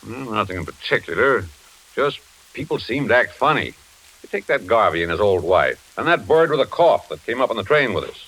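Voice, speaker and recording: A man's voice: lowish voice, very animated, brisk, fairly bright tone, husky, exaggerated voice, rough recording. An older American man playing an easygoing, reluctant retired investigator in 1940s radio suspense style, folksy and reassuring. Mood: easygoing, dismissive.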